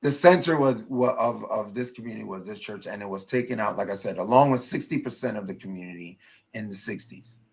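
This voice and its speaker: A man's voice: fairly low pitch, a little fast, creaky, darkish tone. A man speaking as a panelist in an impassioned, explanatory way, stressing points and often checking in with the listener. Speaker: panelist, engineer and cultural rights advocate. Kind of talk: impassioned advocacy talk at a virtual panel.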